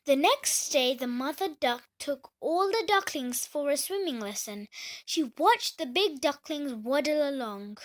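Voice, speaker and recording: A woman reading a children's story aloud as the storyteller, with an expressive delivery. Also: high voice, a little slow.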